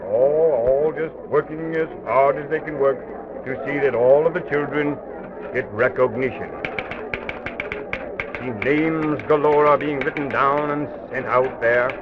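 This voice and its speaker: A man's voice: mid-range voice, close to an everyday voice. Man playing a stately knight on noisy old radio. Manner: measured, formal, explanatory. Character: kindly male enchanted armored knight guide, patient and stately. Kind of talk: old-time children's radio serial acting. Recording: noisy, dull vintage radio recording.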